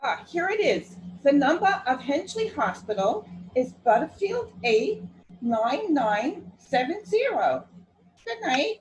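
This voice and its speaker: A woman acts out a formal, officious switchboard clerk for a radio drama. Her high voice rises and falls in a very animated way, courteous and businesslike, over faint background noise. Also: slow, exaggerated voice. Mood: polite, businesslike. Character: polite, businesslike female telephone clerk, brisk and unruffled. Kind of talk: radio play acting.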